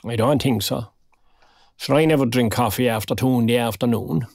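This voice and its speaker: An older Irish man in an audio drama. His voice is gravelly and creaky, recorded close and dry. He talks with proud, kindly, wry humour, like a retiree set in his ways. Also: mid-range voice, normal speed, a little mellow, no room sound. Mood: dry, genial. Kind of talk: naturalistic audio drama dialogue.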